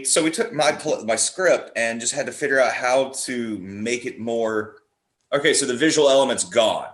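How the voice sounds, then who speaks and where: A man's voice: mid-range voice, fairly bright tone. A man speaking as a guest playwright in a casual podcast chat, explaining things by acting out examples, recorded with roomy echo. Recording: roomy, echoey sound.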